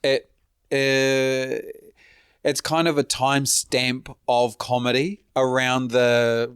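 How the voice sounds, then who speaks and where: A man's voice: medium pitch, flat delivery, slow, fairly bright tone, smooth, no echo. A man who is a stand-up comedian, a guest in casual chat, making dry quips and swearing casually.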